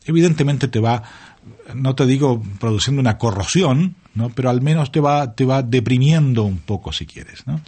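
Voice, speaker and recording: An older man chatting as a guest in a radio interview, reflective and unhurried, with many fillers and tag questions. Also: medium pitch, expressive, warm tone, husky. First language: Italian is his second mother tongue. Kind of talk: relaxed conversational interview.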